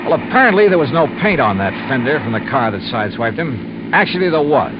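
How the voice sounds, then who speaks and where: Actor as wry hard-boiled radio investigator, animated delivery. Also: male voice, medium pitch, fast, lightly acted. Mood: matter-of-fact, shrewd. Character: wry, shrewd male insurance investigator narrating his case. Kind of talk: 1950s radio detective drama acting and narration. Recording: muffled, noisy old radio recording.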